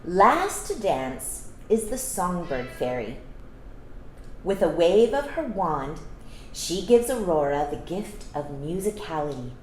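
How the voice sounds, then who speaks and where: A woman reading a children's story aloud, adding gentle, teacherly explanations for young listeners. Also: lowish voice, big pitch swings, slow pace. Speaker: storytime reader. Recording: roomy echo, some background noise.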